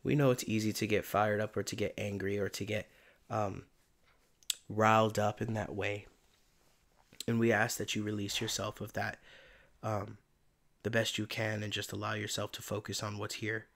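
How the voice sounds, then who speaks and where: A man giving a casual spiritual tarot reading in a soft, meandering, reflective way, his voice tired and giving out. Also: on the low side, little pitch movement, moderate pace, vocal fry, long pauses. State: voice giving out, tired by day's end. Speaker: tarot reader and channeler.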